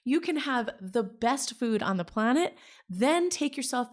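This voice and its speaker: Gushing, animated woman in her forties. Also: on the high side, measured pace. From New Jersey. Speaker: interview guest, story salon founder. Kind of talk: casual conversation.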